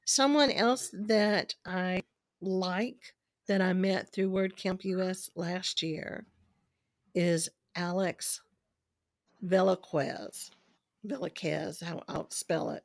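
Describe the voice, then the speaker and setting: A woman's voice: lowish voice, steady tone, slow, gravelly, no echo, studio quality. A woman guest on a podcast, chatty and eager to recommend people, who is losing her voice. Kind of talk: casual phone-style interview chat.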